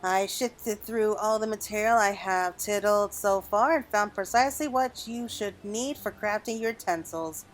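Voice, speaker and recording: A woman's voice: mid-range voice, normal speed, hardly any pauses, slight background noise, close to an everyday voice. A woman voicing a cheery, folksy little helper automaton in a video game, eager to help. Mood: cheery, helpful. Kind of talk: video game dialogue read aloud. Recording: background music under the voice.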